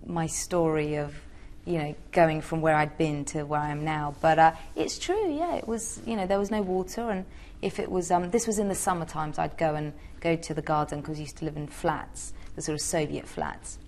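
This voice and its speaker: Low, gravelly-voiced young woman. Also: moderate pace, darkish tone, a little hiss or hum. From Georgia. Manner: chatty, hesitant with many fillers. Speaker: interviewee, singer. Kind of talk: casual interview answers.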